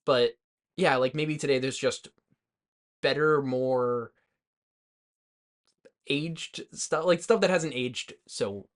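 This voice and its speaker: A man's voice: mid-range voice, takes it slowly, pauses often. A man co-hosting a podcast, chatting casually about movies in a loose, hesitant way with lots of "like". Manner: hesitant, loosely phrased, frequent "like". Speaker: podcast co-host.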